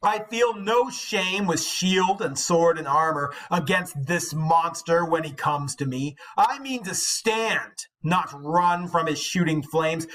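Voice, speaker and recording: Casting: male reader as aged hero king, boastful and resolute, declaiming epic verse slowly, raised pitch, bright forceful tone. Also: heavily acted, low-quality recording. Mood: steady resolve. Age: older. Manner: slow, emphatic, declamatory. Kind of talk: dramatic epic poetry reading.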